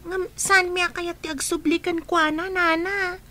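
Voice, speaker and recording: A woman's voice: extremely high pitch, steady tone, moderate pace, pushed and bright. An actress voicing an earnest orphaned teenage girl in a radio drama, asking questions anxiously.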